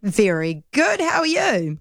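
A woman's voice: mid-range voice, sing-song, measured pace, no room sound, clean studio sound. A woman with a New Zealand accent hosting talkback radio, chatty and agreeable, laughing easily with callers. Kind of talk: casual radio chat with callers. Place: radio studio.

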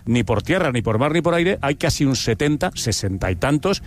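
A man's voice: medium pitch, big pitch swings, a little fast, a little hiss or hum. A man hosting a live football panel, conversational and circling back to repeat his points. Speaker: host of a sports talk show.

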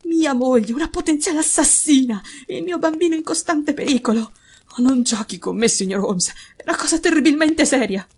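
A woman's voice: high-pitched, expressive, fairly quick, a little mellow, voice pushed up. Woman acting a distraught, imploring burly husband. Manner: earnest, imploring, emphatic. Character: distraught, burly rugby-playing husband and master.

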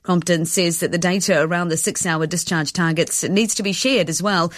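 A woman, giving a brisk regional news report on radio. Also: on the low side, fairly even intonation, no echo.

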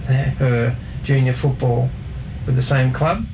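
Male co-host, gravelly texture, hesitant delivery full of fillers, noisy background. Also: mid-range voice, steady tone, unhurried. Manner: hesitant, many ums, reads off notes. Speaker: co-host presenting football trivia. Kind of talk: casual sports chat.

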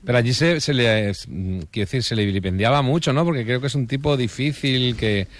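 A man's voice: mid-range voice, fairly quick, no room sound. A man from talking casually as a regular on a radio discussion.

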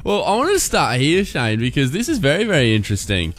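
An Australian man hosts a relaxed radio segment. He chats in an easygoing way and laughs readily. A faint music bed sits underneath his voice. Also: a little high, brisk. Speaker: radio host. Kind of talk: casual radio chat.

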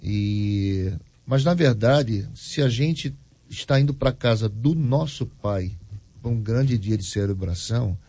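Gravelly husky pastor on a talk show. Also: male voice, fairly low pitch, slow pace, mellow. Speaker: pastor guest. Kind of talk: casual talk show chat.

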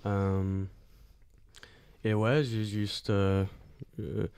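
A man from Australia chatting casually as an interview guest in a studio, speaking halting French and searching for words. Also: on the low side, flat delivery, creaky, darkish tone, clear, resonant tone, no room sound. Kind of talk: casual chat.

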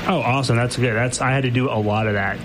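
A man's voice: medium pitch, steady tone, quick pace, background noise. A man hosting a casual radio interview with students, easygoing and chatty with lots of fillers. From Mexico. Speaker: radio host.

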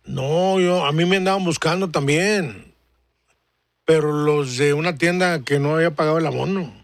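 A man in his forties with a slightly high voice hosts a radio show. He rambles in a friendly way and circles back over his words. The studio sound is dry and close. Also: normal speed, no echo. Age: 40s. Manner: friendly, rambling, repeats himself. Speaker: radio host. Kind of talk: casual radio chat.

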